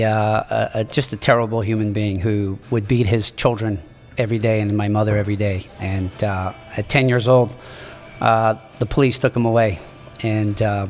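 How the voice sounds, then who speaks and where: A man in his sixties from Morocco, a native French speaker, telling his life story as a podcast guest in a halting, earnest way. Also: lowish voice, big-room sound. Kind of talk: reflective storytelling in an interview.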